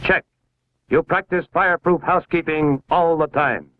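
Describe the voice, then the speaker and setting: A man's voice: a little high, fairly even intonation, moderate pace, a bit bright, a little hiss or hum, low-quality recording. An American man narrating a scripted 1950s civil defense film in a formal, authoritative, instructional manner, on a vintage film soundtrack. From United States.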